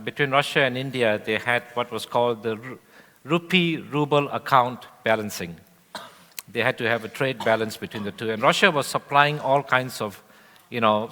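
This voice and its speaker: Bright-voiced man telling his story on stage. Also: medium pitch. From Indonesia. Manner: relaxed, anecdotal, with frequent fillers. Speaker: guest speaker. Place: large event hall on stage. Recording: long hall reverb.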